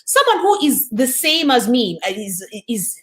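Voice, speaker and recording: A woman's voice: a little high, big pitch swings, normal speed. A woman from Kenya co-hosting a coaching talk, speaking candidly and affirming her partner. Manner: warm, candid, affirming, with frequent fillers. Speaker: co-host. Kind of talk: casual conversation.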